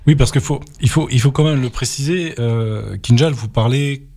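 A man hosting a local radio interview, talking in a hesitant way with many pauses and restarts into a studio microphone. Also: mid-range voice, dark tone. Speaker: radio show host.

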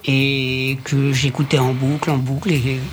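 A man's voice: mid-range voice, flat delivery, a little slow, soft background music. A man, a reggae artist, recalling his start in a reflective, casual way. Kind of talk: casual interview answer.

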